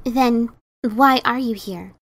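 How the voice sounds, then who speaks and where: A woman as an indignant, high-voiced pegasus mare. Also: takes it slowly, fairly bright tone, full character voice. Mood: bitter, scornful. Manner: scolding, sarcastic, emphatic. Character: exasperated, indignant gray pegasus mother mare. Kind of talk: dramatic reading of a fan story.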